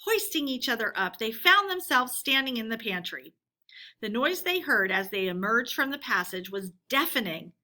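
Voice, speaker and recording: Bright-voiced woman reading a children's story aloud. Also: fairly high pitch, sing-song, normal speed.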